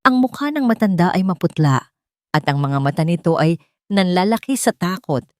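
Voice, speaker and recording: A woman's voice: lowish voice, animated, normal speed, no room sound, clean studio sound. A woman narrating a scripted love story as a storyteller, in a dramatic style.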